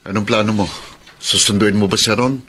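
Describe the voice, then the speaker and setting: An actor playing a frank, sympathetic friend in a Filipino radio drama, with a questioning manner. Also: male voice, lowish voice, fairly even intonation, fairly quick, sharp tone, slightly rough. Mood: concerned.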